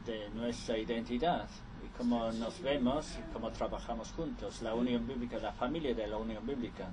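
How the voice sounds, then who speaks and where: A man interpreting conference speeches live, halting and restarting often. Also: medium pitch, noisy background.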